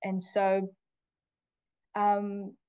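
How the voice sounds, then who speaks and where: A woman who is a teacher, a guest on a podcast, speaking casually and thoughtfully with many pauses and fillers. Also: mid-range voice, completely flat delivery, a little mellow, smooth, no room sound, lo-fi sound. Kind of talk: casual interview chat.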